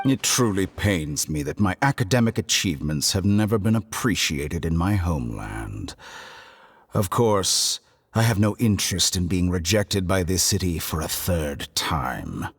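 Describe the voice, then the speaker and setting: An actor playing an arrogant, scheming harbinger and scholar in a video game, with a smug, deliberate, mock-courteous delivery. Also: male voice, deep voice, sing-song, husky, heavily acted. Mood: sly, bargaining. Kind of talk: video game voice acting, theatrical dialogue.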